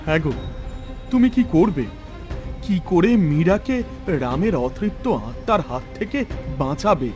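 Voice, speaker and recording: Man as a fretful, animated cartoon father. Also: fairly high pitch, measured pace, pauses often, soft background music. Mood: fearful. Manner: agitated, anxious. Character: frightened, fretful father of a troubled daughter. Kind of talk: cartoon story dubbing.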